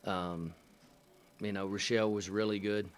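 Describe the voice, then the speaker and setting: Middle-aged male coach at a press table mic, low-pitched, halting and matter-of-fact with dry asides. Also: steady tone. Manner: halting, many fillers and restarts, dry matter-of-fact humor. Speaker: head coach. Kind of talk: post-game press conference answers. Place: press room in front of a sponsor backdrop. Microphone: table microphone.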